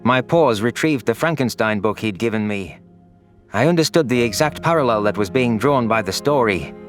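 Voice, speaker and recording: A man's voice: medium pitch, expressive, normal speed, faint music behind, natural voice. A man voicing an anguished, vengeful young furry alien who narrates his own audio story in an earnest, solemn, dramatic way. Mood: brooding.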